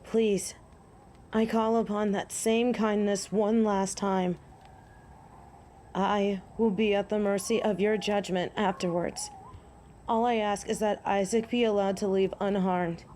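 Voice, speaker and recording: A woman's voice: medium pitch, fairly even intonation, a little slow, dark tone, a little hiss or hum, lightly acted. A woman playing a proud, honorable female werewolf in an audio drama, speaking in formal, old-fashioned, solemn phrases. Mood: solemn pleading.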